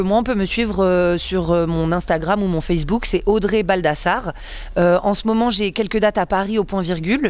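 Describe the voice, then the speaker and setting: A woman's voice: medium pitch, clear, resonant tone, no room sound. A woman comedian speaks in a casual, chatty way during an interview. Her talk is full of fillers and small laughs. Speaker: comedian guest.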